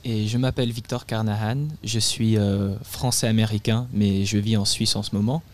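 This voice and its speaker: A man's voice: lowish voice, fairly even intonation, moderate pace. An eighteen-year-old man, a climate activist guest in a radio interview, speaking earnestly with frequent hesitations. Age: teenager.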